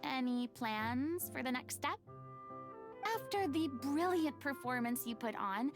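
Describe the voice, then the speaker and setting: A woman voicing a refined young former stage star in a video game, eloquent, formal and theatrical. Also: high voice. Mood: reassuring. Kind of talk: English video game voice acting. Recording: music playing behind the voice.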